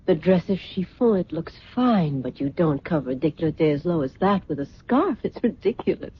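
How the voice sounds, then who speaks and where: An actress playing a vain, imperious middle-aged fashion designer in an old-time radio drama, grand and condescending. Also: female voice, lowish voice, lively intonation, normal speed, slight background noise, close to an everyday voice. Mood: scornful. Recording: muffled vintage radio recording.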